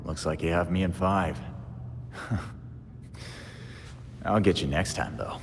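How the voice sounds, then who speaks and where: A man voicing a gruff, wry young male vigilante in a video game, with a casual, sardonic delivery. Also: low-pitched, takes it slowly, big-room sound, slight background noise, exaggerated voice.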